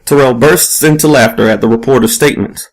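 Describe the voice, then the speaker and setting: Man narrating fiction in a medium-pitched voice. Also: brisk, low-quality recording. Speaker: story reader.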